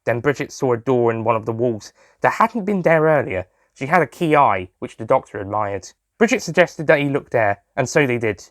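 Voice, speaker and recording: A man's voice: medium pitch, lively intonation, brisk, few pauses, no room sound, clean studio sound. A man reading scripted story narration. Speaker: writer and narrator.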